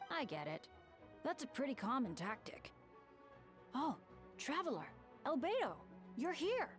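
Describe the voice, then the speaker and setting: High, lively young adventurer boy. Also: measured pace, over music. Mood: friendly. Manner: earnest, upbeat. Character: plucky, eager, good-hearted young adventurer boy. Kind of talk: anime-style game voice acting.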